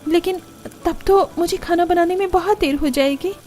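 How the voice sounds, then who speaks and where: A woman's voice: extremely high pitch, moderate pace, warm tone, a little hiss or hum, pitched above the performer's own voice. A woman voicing an earnest, put-upon young daughter-in-law in a cartoon family drama, polite and plaintive. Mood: plaintive, weary.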